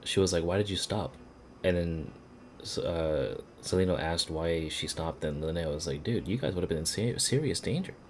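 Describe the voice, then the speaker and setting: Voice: low-pitched, expressive, moderate pace, darkish tone, a little hiss or hum. A game streamer giving casual, rambling commentary over a playthrough, swearing freely.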